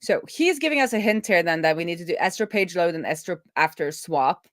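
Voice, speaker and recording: Woman thinking aloud on a low-pitched livestream. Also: lively intonation, fairly quick, dry recording, studio quality. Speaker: livestream co-host and web developer. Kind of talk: casual livestream chat while working through code.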